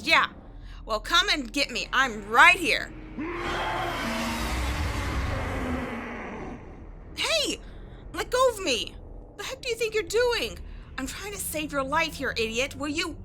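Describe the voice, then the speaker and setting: High, bright-voiced brash tomboy adventurer played by a woman. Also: moderate pace, slight background noise, heavily acted. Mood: defiant, shouting. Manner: animated, slangy, teasing, blustering. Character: brash tomboy adventurer archaeologist, young woman, flustered softie. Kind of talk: roleplay audio acting.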